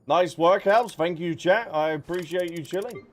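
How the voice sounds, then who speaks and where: A man's voice: high voice, normal speed. A man giving casual, chatty livestream commentary on a game, talking straight to his viewers. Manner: chatty, addressing viewers directly. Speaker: game streamer. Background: game music.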